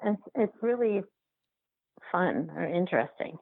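A woman being interviewed as a documentary filmmaker, talking casually and haltingly, repeating and rephrasing as she thinks aloud, over a remote call. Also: fairly low pitch, expressive, vocal fry, husky, no echo. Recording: phone-like narrow-band line.

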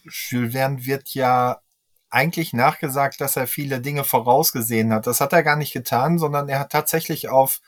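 A man's voice: medium pitch, fairly even intonation, moderate pace. A man on a podcast panel, explaining things in a casual conversational way.

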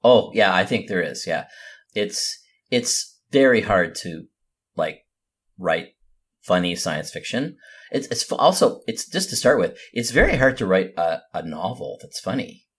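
Husky, low-pitched man chatting. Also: big pitch swings, measured pace. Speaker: podcast co-host. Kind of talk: casual podcast discussion.

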